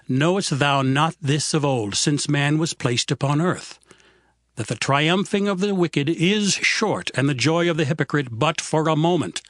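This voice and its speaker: A man's voice: on the high side, fairly bright tone, no echo, studio quality. An actor playing a stern man rebuking his friend in a dramatized scripture reading, lecturing in tone. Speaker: actor voicing Zophar. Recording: faint music under voice.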